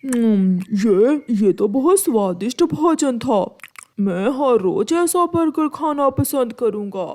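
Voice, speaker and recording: A woman's voice: a little high, animated, slow pace, darkish tone. A woman voicing a gullible male fruit tree in a children's cartoon, simple, trusting and eager. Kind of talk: Hindi children's cartoon voice acting.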